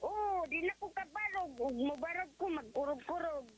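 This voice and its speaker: A woman from Leyte with a Visayan accent giving a conversational testimonial, halting and deferential. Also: very high voice, expressive. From Leyte, Philippines. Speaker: testimonial caller. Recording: slightly noisy phone call on radio.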